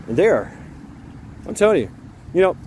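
A middle-aged American man chatting casually and haltingly in a rambling way while out walking, recorded on a handheld phone. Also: high-pitched, sing-song, darkish tone. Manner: halting, breaking off to talk to a dog. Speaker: vlogger. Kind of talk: casual rambling walk-and-talk chat. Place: outdoors walking along a neighborhood sidewalk. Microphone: handheld phone at arm's length. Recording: outdoor street noise, passing cars.

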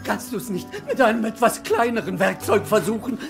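A man's voice: high voice, normal speed, gravelly, music in the background. An actor playing a whining, panicky, grumpy old Viking man in a children's audio drama. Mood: panicky, pleading.

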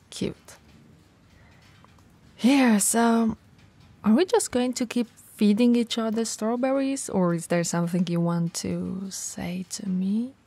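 A woman playing a tall, cool, flirty shop owner in a soft, breathy, intimate ASMR roleplay. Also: mid-range voice, steady tone, slow, pauses often, dry recording. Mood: playful teasing. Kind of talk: ASMR romantic roleplay acting. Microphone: close mic, dry.

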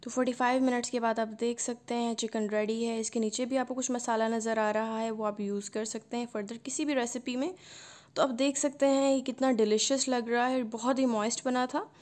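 A woman narrates a home cooking video in a calm, instructive voice. Her voice sits a little high, and she walks through each step patiently. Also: a little fast. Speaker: cooking channel host. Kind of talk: recipe voice-over narration.

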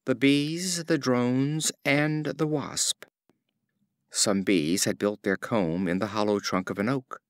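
Lively storytelling male narrator. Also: medium pitch, no room sound. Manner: clear, storytelling delivery. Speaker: audiobook narrator. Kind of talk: scripted fable narration. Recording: dry close studio mic.

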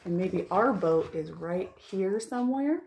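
A woman in her thirties, a children's librarian, reads a picture book aloud and chats to young listeners with expressive wonder and drawn-out emphasis. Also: lowish voice, a little hiss or hum. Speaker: children's librarian hosting storytime. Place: living room at home, indoors.

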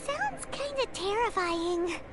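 A woman voicing a tiny, feisty fairy girl companion in a video game, with a squeaky childlike voice and exclamatory manner. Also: big pitch swings, slow pace, heavy background noise, full character voice. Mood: wary. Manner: speaks of self in third person, exclamatory. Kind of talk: scripted video game dialogue. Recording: game music under the voice. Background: game music.

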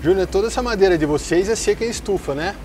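A man's voice: high-pitched, quick pace, darkish tone, a little hiss or hum. A man with a Brazilian Portuguese accent hosting an on-site tour of a sawmill, asking leading questions in an upbeat way. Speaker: host. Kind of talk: on-site company tour interview. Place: outdoors at a sawmill.

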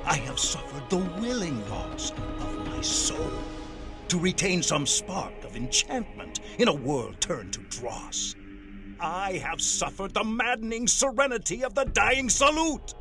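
Haughty, high-voiced vampire sorcerer, swooping wildly. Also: male voice, big pitch swings, unhurried, music in the background, heavily acted. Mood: enraged, bitter. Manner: grandiose, menacing, bombastic. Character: haughty, raging male vampire sorcerer lord, theatrical. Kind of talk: archaic theatrical video game acting.

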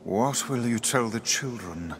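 Slow, pompous talking spellbook. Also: male voice, medium pitch, lively intonation, background noise. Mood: grave, sardonic. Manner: formal, archaic, haughty diction. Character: pompous, sardonic talking magical book, male. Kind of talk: dramatic game voice acting.

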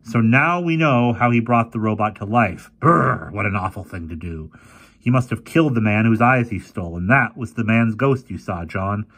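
A man voicing Fergie, an excitable teenage boy who talks bluntly and eagerly in a husky, lifted voice. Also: lowish voice, moderate pace, voice pushed up. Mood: spooked.

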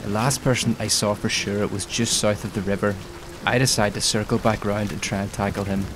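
A man's voice: on the low side, a little fast. A man talking through gameplay as a video creator, in narration and live team chat. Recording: steady loud background noise. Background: pouring rain and game ambience.

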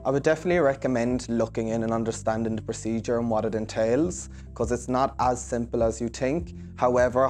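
Young man, middle-pitched voice, earnest testimonial, lapel mic, faint music behind. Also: darkish tone. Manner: earnest, reassuring, with some fillers and restarts. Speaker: hairdresser giving a clinic testimonial. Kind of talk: interview testimonial. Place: hair salon, indoors.

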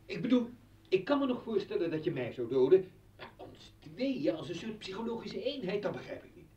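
An appalled, incredulous husband in an old radio drama. His agitated voice swings very widely in pitch, in an echoey recording with slight hum. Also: sing-song, a little fast, mellow, distant mic, dull audio. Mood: incredulous, indignant. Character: appalled, indignant husband arguing with an intruder. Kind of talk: Dutch radio play acting.

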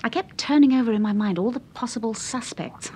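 A woman's voice: on the high side, fairly quick, dark tone, slight background noise, natural voice. A woman playing an inquisitive, sensible detective's wife in a British radio serial, polite, with Received Pronunciation. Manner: polite, questioning.

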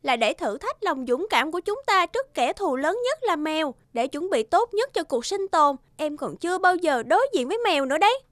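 A woman voicing an anxious, caring young girl mouse in an animated cartoon, earnest and emotional. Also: very high voice, brisk, few pauses, no echo, full character voice. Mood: explaining.

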